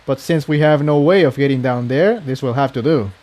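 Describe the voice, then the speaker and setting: A man's voice: medium pitch, a little fast, darkish tone, close to an everyday voice. A man voicing an earnest, practical young male unicorn pony who explains things matter-of-factly in a story. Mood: explaining.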